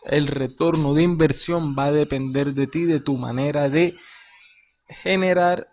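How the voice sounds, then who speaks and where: A man's voice: on the high side, fairly even intonation, a little slow. A man, talking viewers through a game on screen in an informal, slangy way. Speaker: crypto game tutorial host. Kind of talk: screen-recorded tutorial narration. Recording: dull lo-fi voice with faint hiss.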